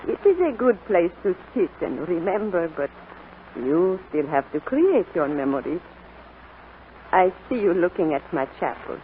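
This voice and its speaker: A woman playing a kindly, devout French grandmother of seventy-seven in a 1940s radio drama, speaking gently and reflectively. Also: lowish voice, sing-song, moderate pace. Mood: warm, reminiscing. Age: older. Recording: noisy, tinny 1940s radio broadcast.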